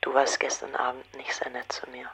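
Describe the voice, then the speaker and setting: An actress playing a cool, self-possessed young society woman in a radio play, with a husky, breathy voice and an understated manner. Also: rumbling low, completely flat delivery, brisk, fairly bright tone, in a whisper, full character voice. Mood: cool, inviting. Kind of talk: radio drama acting.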